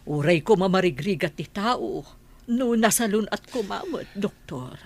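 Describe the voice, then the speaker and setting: A woman playing an ailing older village woman, plaintive and talkative, with a husky voice in a radio drama. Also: medium pitch, animated, measured pace, darkish tone. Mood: sympathetic. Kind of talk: Ilocano radio drama acting.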